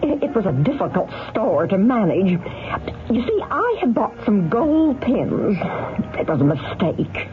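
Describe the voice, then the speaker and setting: An actress playing a flustered, insistent former shop manager on the witness stand in a radio drama, halting and emphatic. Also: female voice, medium pitch, big pitch swings, a little hiss or hum. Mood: flustered, pleading. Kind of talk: 1970s radio drama acting. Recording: tinny vintage radio recording, music bed.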